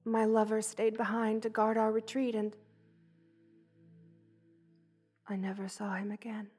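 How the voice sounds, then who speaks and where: A woman voicing a gentle, loving, motherly spider queen in a soft, hushed ASMR roleplay, tender and wistful, often slipping toward a whisper. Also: on the high side, little pitch movement, fairly quick, faint music behind, lightly acted. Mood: sorrowful, hushed.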